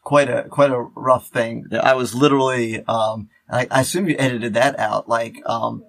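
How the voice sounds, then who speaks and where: Gravelly man hosting a casual podcast. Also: mid-range voice, steady tone, a bit bright. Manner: halting, repeats words. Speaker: podcast co-host. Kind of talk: casual banter.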